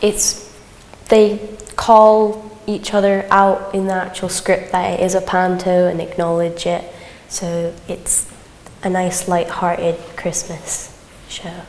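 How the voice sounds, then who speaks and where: A woman's voice: lowish voice, little pitch movement, slow pace, darkish tone. A woman chatting casually in an interview as an amateur performer, understated and matter-of-fact. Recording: echoey hall with background noise.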